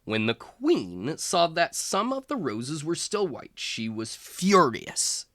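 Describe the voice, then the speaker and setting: A man who works as a tutor, reading a children's storybook aloud in an expressive, measured storytelling manner. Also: a little high, dry recording, studio quality.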